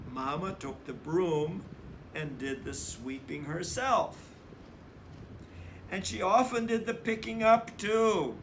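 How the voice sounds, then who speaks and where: A man reading a children's story aloud in a deliberate, emphatic storytelling manner. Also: a little high, a bit bright, a little hiss or hum. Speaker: storybook reader.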